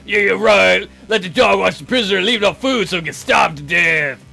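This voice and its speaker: Loutish guard dog, visual novel, played by a man: loud, coarse, surly, lecherous, sneering, with a forceful edge. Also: high voice, brisk, creaky, faint music behind, pitched below the performer's own voice, exaggerated voice. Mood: gruff, irritated. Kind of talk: visual novel character voice-over.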